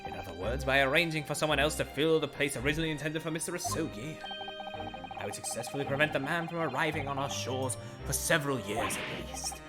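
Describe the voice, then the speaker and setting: A streamer voicing an eccentric, pompous male detective in a put-on British accent, low and drawling, over game music. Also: lively intonation, fast, natural voice. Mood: smug, explaining.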